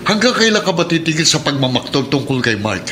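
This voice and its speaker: A man's voice: a little high, sing-song, brisk, bright, forceful tone, a little hiss or hum. An actor playing a kind, protective middle-aged Filipino policeman and adoptive father in a radio drama. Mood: exasperated. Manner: earnest, encouraging, heated when challenged.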